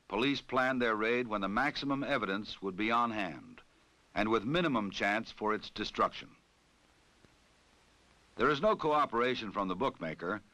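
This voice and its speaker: An American man reading scripted narration for a training film in a formal, measured, authoritative manner. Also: medium pitch, bright, forceful tone, no room sound, poor audio.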